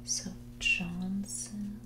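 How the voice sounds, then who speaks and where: A woman playing a mean, condescending rich young woman on a first date in a whispered, soft-spoken ASMR roleplay, with a bored drawl. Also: mid-range voice, level tone, extremely slow, over music. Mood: conspiratorial, smug. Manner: condescending, drawling, bored, valley-girl filler "like".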